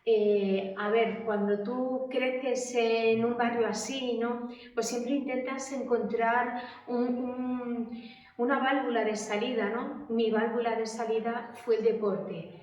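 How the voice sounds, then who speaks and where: A woman's voice: a little high, fairly even intonation, slow pace, a little mellow. Distant, echoey middle-aged woman. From Madrid, Spain. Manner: hesitant, many fillers and restarts. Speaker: interviewee. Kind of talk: oral history interview. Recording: echoey room, distant mic, dull sound.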